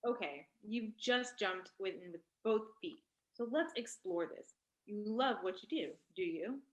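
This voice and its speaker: A woman playing an insistent doctor who questions a talk-show host in a staged play reading, in pointed, deliberate phrases. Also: medium pitch, animated, close to an everyday voice. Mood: probing. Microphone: video call microphone. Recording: echoey room on video call.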